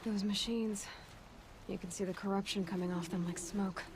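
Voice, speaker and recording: Casting: woman to play young huntress for a game, self-reliant, terse, guarded, middle pitch; loud ambience behind. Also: fairly even intonation, moderate pace, lightly acted. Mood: reflective, resolute. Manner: terse, understated. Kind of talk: video game voice acting. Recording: loud background noise, outdoor game ambience.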